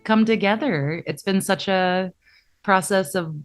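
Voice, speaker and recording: A woman's voice: mid-range voice, measured pace, faint music behind. A woman speaking on a podcast in a casual, promotional chat style.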